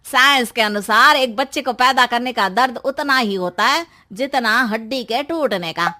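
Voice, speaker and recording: A woman's voice: a little high, moderate pace, pushed and bright. A woman voicing a know-it-all neighbour man who lectures emphatically in a cartoon story. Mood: emphatic. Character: matter-of-fact know-it-all man from next door.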